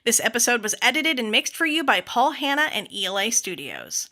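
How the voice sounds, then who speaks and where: Bright, lively woman podcast host. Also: a little high, brisk. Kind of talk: scripted announcement.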